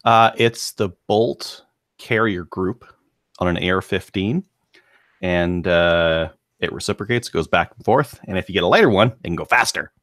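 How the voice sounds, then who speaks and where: A man's voice: low voice, moderate pace, fairly bright tone, no room sound. A man co-hosting a podcast chat, explaining things plainly with dry humor. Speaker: podcast co-host. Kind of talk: casual chat.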